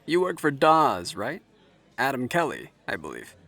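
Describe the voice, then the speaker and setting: An actor playing a charming, self-assured tech executive in an audio drama, talking like a smooth, formal salesman. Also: mid-range voice, big pitch swings, measured pace, bright, forceful tone, full character voice. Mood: self-assured, flattering.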